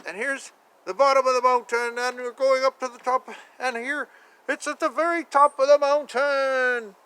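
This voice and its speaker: High-voiced man reading playfully to children. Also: steady tone, moderate pace, sharp tone, clear, resonant tone, low-quality recording. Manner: playful, exclaiming, chatty. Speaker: children's picture book reader. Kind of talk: animated read-aloud with asides to young children. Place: outdoors. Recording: outdoor ambient noise, faint. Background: faint outdoor ambience.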